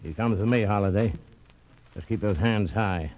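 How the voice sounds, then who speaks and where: A man plays a menacing gunman with a fairly low voice. He is clipped and commanding, in old radio crime drama style. The recording is muffled and hissy. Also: normal speed, lightly acted. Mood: threatening. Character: curt, menacing gunman demanding a child. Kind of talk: 1940s radio acting.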